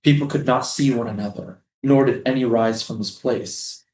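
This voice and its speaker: Man acting solemn doomed prisoner, mid-pitched. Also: moderate pace, darkish tone, echoey room, close to an everyday voice, poor audio. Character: doomed, solemn male prisoner, loving father, poetic. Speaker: actor in a staged reading. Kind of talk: staged play reading.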